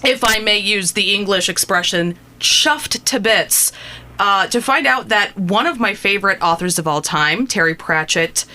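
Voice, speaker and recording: Bright, eager woman radio host. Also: medium pitch, lively intonation, unhurried. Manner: enthusiastic, gushing fan. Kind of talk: radio interview.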